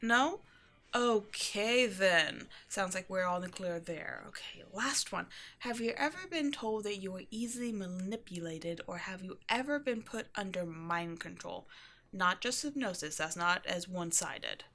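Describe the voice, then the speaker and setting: A woman's voice: medium pitch, animated, unhurried, fairly bright tone. A woman acts out an upbeat, quirky keeper of a mysterious occult shop. She chats in a breezy, casual way, as if to a new employee in an ASMR roleplay. Eerie matters get the same offhand, friendly treatment as everyday ones. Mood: casual, businesslike. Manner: chatty, breezy, offhand about eerie things. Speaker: shop owner character speaking to a new employee. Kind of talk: ASMR roleplay, casual one-sided conversation.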